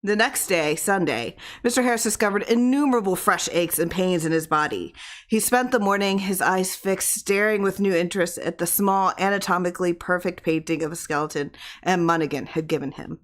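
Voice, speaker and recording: A woman reading a literary horror story aloud in expressive audiobook narration. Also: on the low side, brisk. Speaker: audiobook reader.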